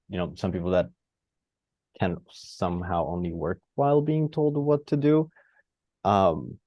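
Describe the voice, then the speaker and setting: A man's voice: lowish voice, dark tone, no room sound. A man from Costa Rica speaking in an online discussion. He thinks aloud and wanders, with lots of fillers and restarts. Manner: rambling and searching, many fillers and restarts. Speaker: participant. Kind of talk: reflective discussion on a video call. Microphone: video call.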